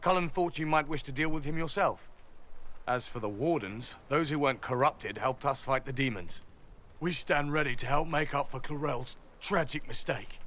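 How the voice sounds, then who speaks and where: A man's voice: on the high side, normal speed, a bit bright, slight background noise, close to an everyday voice. A man playing a loyal soldier in a video game, reporting in a formal, respectful way. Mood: dutiful.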